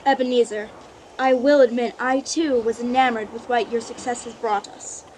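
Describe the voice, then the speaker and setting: A woman's voice: on the high side, fairly even intonation, normal speed, a little mellow, a little hiss or hum, close to an everyday voice, rough recording. A woman playing a sorrowful but resolute young woman in a radio play, speaking earnestly and formally. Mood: sad, resolute. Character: sorrowful, resolute young woman leaving her greedy fiance. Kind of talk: live-audience radio play acting.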